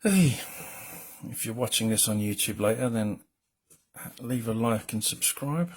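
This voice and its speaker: A man talks in a low, slightly husky murmur as he draws live. His commentary wanders in a loose, rambling way, full of self-mocking asides, and he speaks into a desk mic. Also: lively intonation, measured pace. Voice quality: low, mumbling voice. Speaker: comic colorist streaming a drawing. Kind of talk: casual live drawing commentary.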